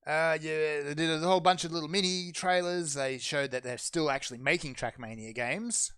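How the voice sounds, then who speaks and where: Male podcast host, higher-pitched, dry sound, brisk sarcastic rundown. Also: fairly bright tone, clean tone, no echo. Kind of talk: casual chat.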